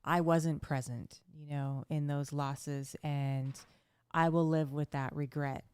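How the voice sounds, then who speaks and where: A woman's voice: sits low, fairly even intonation, slow, a little mellow, clear, resonant tone, no room sound, clean studio sound. A woman co-hosting an online recovery meeting over a video call, encouraging and kind.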